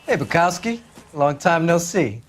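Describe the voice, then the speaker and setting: An actor playing a plain-spoken 1940s American patrol cop in a video game, reporting in matter-of-fact slang. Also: male voice, a little high, big pitch swings, unhurried. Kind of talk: video game acting, 1940s police drama.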